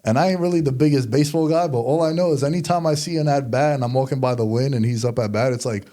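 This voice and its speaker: Male sports talk host, New York accent, soft-edged mellow tone, slangy and emphatic, casual chat. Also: mid-range voice, fast talker.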